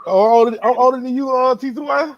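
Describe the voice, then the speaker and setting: A man's voice: extremely high pitch, quick pace, lo-fi sound. A man in his thirties hosting a casual sports chat in a laid-back, slangy, joking way. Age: 30s. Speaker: host moderating a fan panel.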